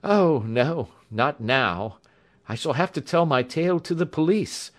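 A man voicing a polite, agitated young engineer in his twenties, breathless and excitable, in an audiobook story. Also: fairly high pitch, a little slow, pitched above the performer's own voice. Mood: relieved, earnest. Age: mid-twenties. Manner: courteous, excitable, shaky, breathless. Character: pale, agitated young male engineer, wounded, hysterical, polite.

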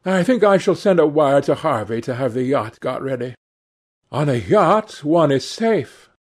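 A man voicing a troubled, melancholy young gentleman in an audiobook, plaintive, earnest and polite. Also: on the high side, lively intonation, measured pace. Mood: pained, weary.

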